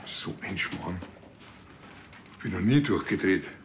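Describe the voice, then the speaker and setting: An actor playing a cheeky, casual rural police officer with a Bavarian accent and a flippant manner in a TV crime comedy. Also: male voice, sits low, unhurried, slight background noise.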